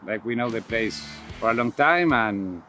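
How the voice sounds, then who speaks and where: A man's voice: mid-range voice, lively intonation, normal speed, bright, forceful tone. A man from Argentina with an Argentine accent, a polo player talking casually in an interview. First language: Spanish. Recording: music behind the voice.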